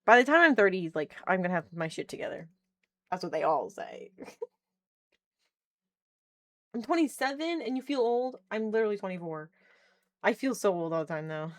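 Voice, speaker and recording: A woman's voice: mid-range voice, very animated, moderate pace, long pauses. Chatty woman streamer in her thirties. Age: 30s. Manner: chatty, laughs often, reads chat aloud while tinkering. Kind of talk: casual live-stream chat. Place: indoors at home, working on a keyboard.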